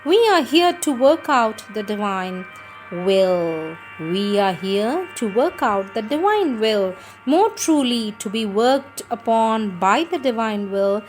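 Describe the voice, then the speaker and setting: A woman reading scripted spiritual teachings in a measured, deliberate, emphatic manner. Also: a little high, very animated, soft background music.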